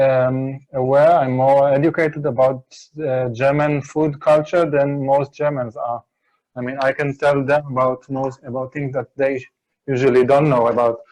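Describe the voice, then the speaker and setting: Halting man chatting while cooking. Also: medium pitch, darkish tone, low-quality recording. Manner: halting, many restarts and "I mean". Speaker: chef and Berlin food tour guide. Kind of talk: casual cooking chat.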